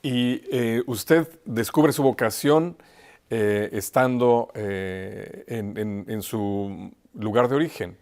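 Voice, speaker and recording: Lowish-voiced man, hesitant interviewer. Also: slow, darkish tone. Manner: hesitant, repeats words while forming questions. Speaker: interviewer, host. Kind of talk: conversational interview questions.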